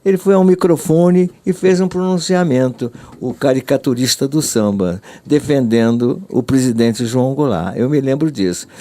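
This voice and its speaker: An older Brazilian man gives testimony from memory. He speaks at a medium pitch, haltingly, circling back over phrases. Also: mellow. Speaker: radio artist giving testimony. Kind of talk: recollection in an interview.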